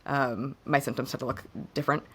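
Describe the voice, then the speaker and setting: A hoarse woman co-hosting a podcast, chatting casually and haltingly about personal matters, her voice fading a bit. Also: extremely low pitch, steady tone, a bit bright. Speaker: podcast co-host.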